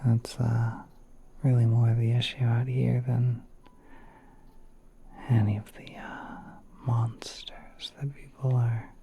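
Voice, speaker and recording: A man playing a gentle, courteous spider prince in an ASMR roleplay, speaking in a soft, breathy half-whisper with hesitant, unhurried politeness. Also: medium pitch, flat delivery, dull audio, slight background noise. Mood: calm, explaining. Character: gentle, courteous spider prince soothing a guest in his garden.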